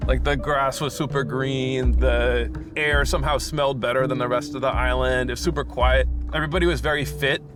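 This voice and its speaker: A man's voice: medium pitch, fairly even intonation, fairly quick, few pauses. Chatty man with "like" fillers. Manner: chatty, lots of "like". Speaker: documentary commentator. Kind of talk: casual documentary commentary. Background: documentary score music.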